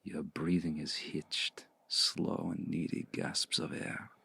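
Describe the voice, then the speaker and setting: A man playing a seductive, commanding male vampire in an intimate ASMR roleplay, speaking slowly and deliberately in a hushed, breathy voice close to the mic. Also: level tone, heavily acted. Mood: menacing, hypnotic. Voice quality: low, gravelly, breathy. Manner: slow, deliberate, hushed, often breathy near-whisper. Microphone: close mic, dry.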